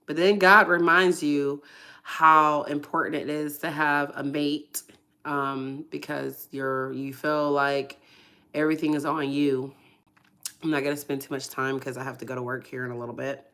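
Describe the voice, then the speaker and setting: Hoarse, congested woman, low-voiced, soft and hesitant, filler-heavy testimony host. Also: little pitch movement, normal speed. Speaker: ministry livestream host. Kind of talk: casual testimony livestream.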